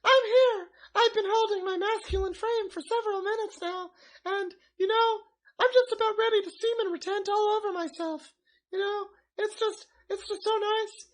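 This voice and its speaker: A man's voice: extremely high pitch, steady tone, moderate pace, pitched above the performer's own voice, rough recording. A man putting on the voice of a giddy, overexcited woman who squeals breathlessly and giggles.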